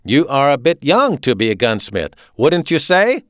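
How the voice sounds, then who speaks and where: Bossy little german prison commandant for an audiobook, high voice, clipped and demanding, really swoopy. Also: male voice, wildly swooping pitch, moderate pace, a bit bright, no room sound, clean studio sound. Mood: probing. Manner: staccato, demanding, interrogating. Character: puny authoritarian German prison camp commandant, menacing.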